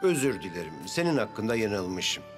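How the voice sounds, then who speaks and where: A man's voice: fairly low pitch, big pitch swings, moderate pace. A man voicing a firm but kindly garage chief in a children's cartoon dub, with music behind. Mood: apologetic, warm.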